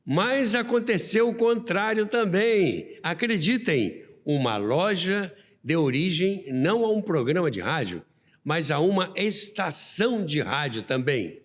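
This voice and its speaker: Lively Brazilian man in a reverberant space. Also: high voice, a little slow. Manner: didactic, expressive storyteller. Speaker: podcast host. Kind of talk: conversational storytelling about radio history. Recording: long reverberant hall-like echo.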